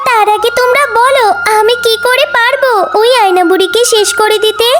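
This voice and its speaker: A woman's voice: very high-pitched, brisk, over music. A woman voicing a brave, earnest young girl heroine in a cartoon, speaking expressively. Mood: eager, questioning. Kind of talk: cartoon character acting.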